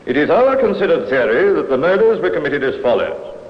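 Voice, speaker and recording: A precise police inspector. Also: male voice, a little high, a little fast. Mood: explanatory. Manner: calm, explanatory, precise. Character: methodical male Scotland Yard inspector. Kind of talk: 1950s radio drama acting. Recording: dull old broadcast in a reverberant room.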